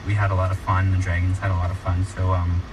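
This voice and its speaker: A young man in his late teens speaks as a guest in a casual interview. His voice is on the low side. He is slightly off the mic in a noisy room. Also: completely flat delivery, fast talker, far from the mic. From Alberta, Canada. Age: teens, high school senior. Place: radio studio.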